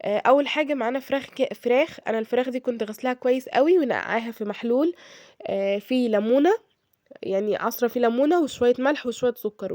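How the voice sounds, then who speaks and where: A hoarse woman with an Egyptian accent talks her viewers through a home recipe at a rapid clip, her voice sitting a little high. She chats casually, filling pauses and checking in with them often. The sound is close and dry, with no room echo. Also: darkish tone. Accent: Egyptian Arabic. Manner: chatty, addresses viewers directly, frequent fillers and check-ins. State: hoarse from a cold. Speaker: cooking vlogger. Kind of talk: casual cooking tutorial.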